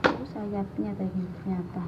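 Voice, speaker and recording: A woman's voice: medium pitch, level tone, normal speed. A woman, a mother casually coaxing her child through a craft at home, asking question after question. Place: tiled floor indoors at home. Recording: muffled home video with background noise.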